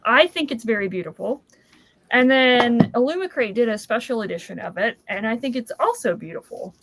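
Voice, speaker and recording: A woman's voice: on the high side, animated, fairly quick, background noise. A woman chatting informally about books as a panelist. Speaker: book discussion panelist. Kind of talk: casual chat about books.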